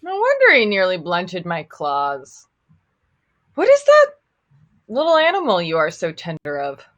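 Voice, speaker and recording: A woman voicing a big cowardly lion in a table read, sheepish and self-pitying, with a whimpering, drawn-out delivery. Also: fairly low pitch, huge pitch swings, a bit bright, highs cut off, full character voice. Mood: timid curiosity. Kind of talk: table read of an audio drama.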